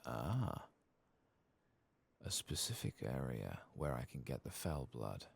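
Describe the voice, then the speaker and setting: A soft-spoken man with a husky, gravelly voice, murmuring unhurriedly as he talks through a video game in ASMR style. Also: extremely low pitch, big pitch swings, slow pace.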